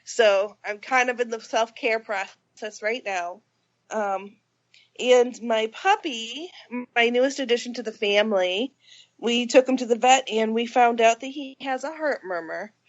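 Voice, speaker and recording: This woman sounds congested from a cold, her pitch a touch high. She chats casually on a podcast, light and self-mocking. The recording is a little dull and lo-fi. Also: measured pace. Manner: chatty, laughing, self-deprecating. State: stuffy from a cold. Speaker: podcast co-host. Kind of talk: casual chat.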